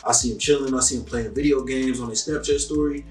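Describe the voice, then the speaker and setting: A man's voice: mid-range voice, steady tone, fast talker, darkish tone, recorded from a distance. Laid-back young man, roomy off-mic sound. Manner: laid-back, rambling, lots of "um" and "like". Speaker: musician interviewee. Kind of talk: casual interview answers. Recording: roomy, off-mic, music faintly behind.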